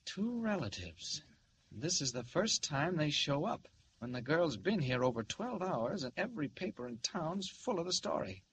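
An actor playing an eager, persuasive newspaper reporter in a 1940s radio serial. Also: male voice, fairly high pitch, very animated, moderate pace, fairly bright tone, low-quality recording. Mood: suspicious. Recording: old radio serial with faint noise.

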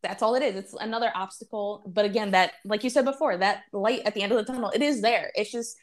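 A woman's voice: mid-range voice, quick pace. A woman in her twenties talking candidly in a casual chat, full of "like" fillers. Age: 20s. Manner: candid, heavy use of "like".

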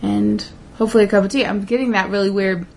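A woman with a raspy voice co-hosting a casual podcast, chatting and giving reflective life advice. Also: mid-range voice, fairly even intonation, quick pace, darkish tone. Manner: chatty, reflective, laughs easily. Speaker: podcast co-host. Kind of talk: casual chat and advice segment.

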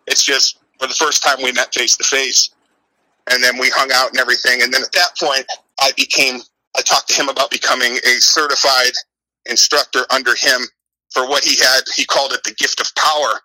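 A man's voice: medium pitch, level tone, a little fast, bright, forceful tone, dry recording, low-quality recording. A middle-aged American man answering interview questions conversationally, rambling and repeating himself for emphasis. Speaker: interview guest, author and martial arts instructor.

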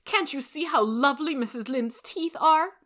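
A woman's voice: sits high, moderate pace, a bit bright, voice pushed up. A woman voicing a wistful, admiring, romantic young girl in a storybook, earnest and gushing. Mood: wonder.

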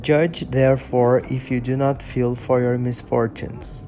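A man voicing a kindly, blind, elderly exile, speaking in a measured, earnest way in an audiobook reading. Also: mid-range voice, flat delivery, mellow, soft background music, close to an everyday voice.

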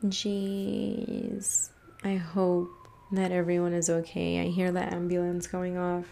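A woman's voice: fairly low pitch, little pitch movement, takes it slowly, creaky, dark tone, a little hiss or hum. A woman hosting a casual solo podcast, ranting in a frustrated, rambling way and swearing freely, a little congested from allergies. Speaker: podcast host.

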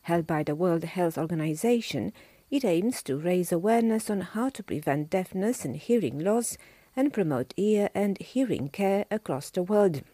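A woman reads a scripted radio segment at a steady, measured pace. Her voice is mellow and somewhat low, and the recording is dry and close. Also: no room sound. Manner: even, measured reading. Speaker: radio presenter. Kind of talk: scripted radio news feature.

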